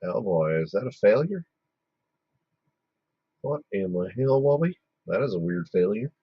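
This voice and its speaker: Hoarse man chatting on a live stream. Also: lowish voice, sing-song, measured pace, no room sound, lo-fi sound. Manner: chatty, mutters to himself while working. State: losing his voice, hoarse. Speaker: livestream host. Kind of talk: casual live-stream chat while working.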